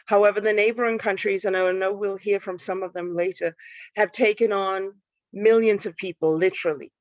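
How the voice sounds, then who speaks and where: A woman from Denmark, speaking as a panelist in an online panel, thoughtful and halting with many restarts. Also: medium pitch, fairly even intonation, dry recording, dull audio. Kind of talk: panel talk on a live stream. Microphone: video call.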